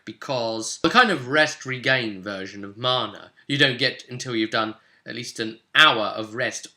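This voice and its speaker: A man's voice: fairly low pitch, sharp tone. A man giving a casual, chatty tutorial as a game guide, emphatic and conversational, often adding tag questions. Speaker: tabletop game guide presenter.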